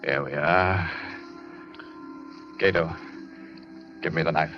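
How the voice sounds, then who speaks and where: An actor playing a deranged, obsessive doctor in 1940s radio thriller style, melodramatic and menacing, going from hushed muttering to frantic cries. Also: male voice, a bass voice, steady tone, takes it slowly. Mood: hushed, confused muttering. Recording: muffled old 1940s radio broadcast, music.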